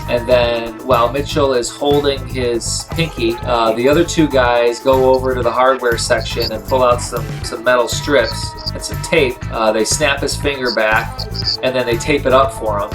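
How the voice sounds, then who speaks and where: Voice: medium pitch, fairly even intonation, moderate pace. Game master narrating over guitar music. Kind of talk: narrating a tabletop role-playing game. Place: remote call, music played behind. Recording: background guitar music, online group call.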